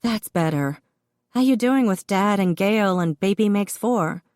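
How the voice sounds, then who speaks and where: A woman voicing a heavily pregnant woman who teases her brother in quick, exclamatory bursts. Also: mid-range voice, darkish tone, dry recording, studio quality, pitched above the performer's own voice, lightly acted. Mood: chatty. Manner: teasing, exclamatory. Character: pregnant adult woman, teasing, blunt older sister.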